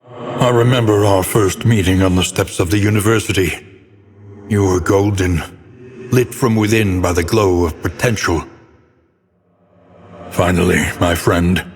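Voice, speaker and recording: A cavernous reverb surrounds this very low-voiced, brooding older scholar, narrating a gothic video game of doom. He speaks slowly and solemnly. Each phrase is weighed with grave, theatrical portent. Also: fairly even intonation, a little hiss or hum. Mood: wistful. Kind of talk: scripted dramatic game narration.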